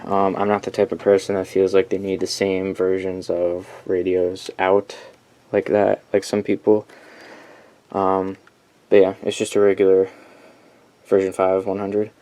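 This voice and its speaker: A man's voice: sits low, monotone, unhurried, warm tone. A man giving a casual, unscripted showcase of his collection, rambling, correcting himself and speaking bluntly. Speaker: collector presenting weather radios. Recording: clear background noise.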